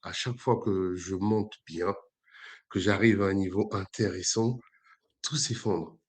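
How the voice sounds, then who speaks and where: A man's voice: deep voice, a little slow, darkish tone, breathy edge. A man in his forties from Congo-Brazzaville, speaking as an interview guest in a hesitant, conversational way full of fillers and restarts. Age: 40s. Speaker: entrepreneur guest.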